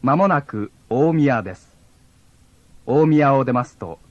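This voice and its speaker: A man's voice: mid-range voice, expressive, normal speed, no room sound. Lilting male train announcer on dull tape. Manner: formal, polite delivery. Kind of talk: scripted onboard train announcement. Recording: dull lo-fi old cassette tape.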